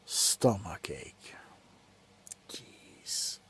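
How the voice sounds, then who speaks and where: A middle-aged man playing a grumpy, drunk doctor in an ASMR roleplay, grumbling sardonically close to the mic between soft talk and whispers. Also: extremely slow. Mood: grumbling, sardonic. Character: grumpy, drunk male doctor on a Christmas house call. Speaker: ASMR performer. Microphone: very close to the face.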